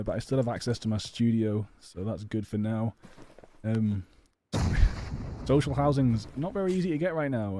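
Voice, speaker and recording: A man's voice: medium pitch, dark tone, a little hiss or hum. A British man giving casual, rambling live game commentary as an esports caster, chatty and a little hesitant. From England. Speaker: esports caster and stream host. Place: home or personal studio.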